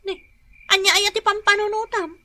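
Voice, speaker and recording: Whiny ilocano wife in a radio comedy, really high sharp voice, always nagging. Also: female voice, steady tone, fairly quick, slight background noise, exaggerated voice. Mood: fussing. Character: fussy, complaining wife in a comic household. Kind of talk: comedy radio drama acting.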